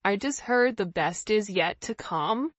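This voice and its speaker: A woman's voice: medium pitch, lively intonation, unhurried, no echo. A woman giving an informal tarot reading straight to her viewers, speaking emphatically and conversationally with rhetorical questions. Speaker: tarot reader, channel host.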